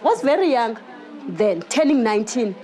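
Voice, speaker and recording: A middle-aged woman from Africa, earnestly telling her story in a casual interview, often halting and restarting. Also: fairly high pitch, big pitch swings, warm tone. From South Africa. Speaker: local baker and guest.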